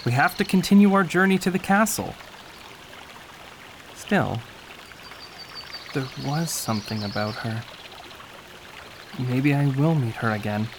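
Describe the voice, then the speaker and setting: A man's voice: mid-range voice, unhurried, long pauses, noisy background, lightly acted. A man playing a kind, earnest young prince on a journey who sounds a little shy and wistful. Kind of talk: audio drama acting.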